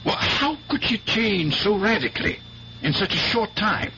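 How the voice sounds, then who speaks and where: An actor playing an earnest, blunt doctor, grave and emphatic, in a 1970s radio drama. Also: male voice, high voice, very animated, vocal fry, sharp tone, slight background noise, exaggerated voice. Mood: troubled, earnest. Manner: grave, emphatic, deliberate phrasing. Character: earnest, worried doctor, a man, blunt and insistent. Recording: dull, hummy old radio recording.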